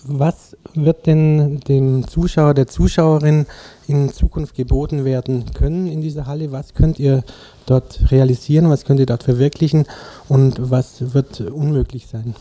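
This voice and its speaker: A man's voice: mid-range voice, warm tone. A man working as a radio interviewer, reading a prepared report and putting questions to a guest.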